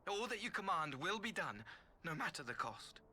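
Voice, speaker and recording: An actor playing a fawning, fanatically devoted servant in a video game, with florid, halting, obsequious speech. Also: male voice, high voice, sing-song, sharp tone, slight background noise, full character voice. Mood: fawning devotion. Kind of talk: video game cutscene acting.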